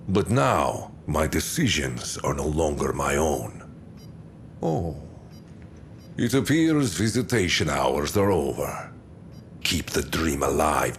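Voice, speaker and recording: A man's voice: a bass voice, slight background noise, full character voice. An actor playing an imprisoned male heir who talks in a brooding, wry, resigned way.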